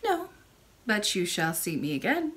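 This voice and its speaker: A woman voicing a very old, kindly and mysterious royal great-great-grandmother in a storybook, speaking in a calm, measured way. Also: medium pitch. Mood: serene. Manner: measured, gently assured.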